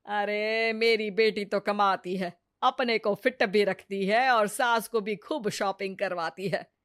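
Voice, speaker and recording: A woman's voice: mid-range voice, steady tone, slow pace, fairly bright tone, dry recording, clean studio sound. A woman voicing a nagging middle-aged mother-in-law in a cartoon story, scolding and pushy.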